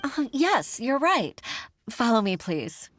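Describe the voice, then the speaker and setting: An actress playing an earnest, troubled young junior official in a video game, speaking formally and with deference. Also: female voice, on the high side, big pitch swings, takes it slowly, fairly bright tone, slight background noise, full character voice. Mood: earnest, attentive.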